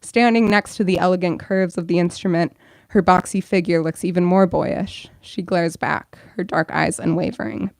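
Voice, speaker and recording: A woman's voice: fairly low pitch, steady tone, moderate pace, gravelly, darkish tone, no echo. A young woman, a bookstore events director, welcoming an audience and then reading her own fiction aloud at a store event.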